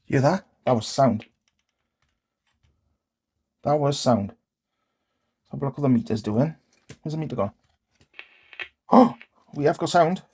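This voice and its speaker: A man with a mid-pitched, husky voice talks through a hands-on repair. He speaks casually, in short bits, often muttering to himself as he works. The delivery is unscripted, like a host thinking aloud at the workbench. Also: lively intonation, measured pace, pauses often, darkish tone. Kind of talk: casual hands-on narration while working.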